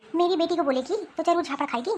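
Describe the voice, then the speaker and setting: Bullying older cartoon mother-in-law, played by a woman: very high, bossy, scornful, scolding. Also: fast. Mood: angry scolding. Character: harsh, domineering older mother-in-law who bullies her daughter-in-law. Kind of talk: Hindi cartoon family drama acting.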